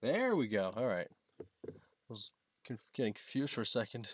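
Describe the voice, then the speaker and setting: A man talking casually and haltingly, thinking aloud as he demonstrates a gadget he is setting up. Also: mid-range voice, big pitch swings, dry recording, studio quality. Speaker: product reviewer demonstrating a gadget. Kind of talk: casual unscripted unboxing and setup demo.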